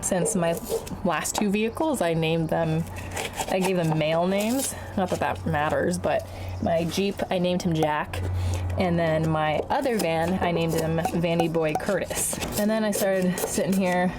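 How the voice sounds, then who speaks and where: Casual woman vlogger over clear background noise. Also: fairly low pitch. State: ill with a bad cold. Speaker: DIY van build vlogger. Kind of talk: casual chat to camera.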